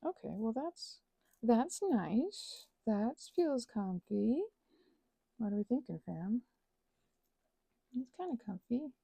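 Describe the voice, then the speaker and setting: A woman chatting casually in a rambling ASMR craft style, with playful, animated asides and frequent self-interruptions. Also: on the high side, slow pace, vocal fry, long pauses, breathy edge, no room sound. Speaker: ASMR and audio creator.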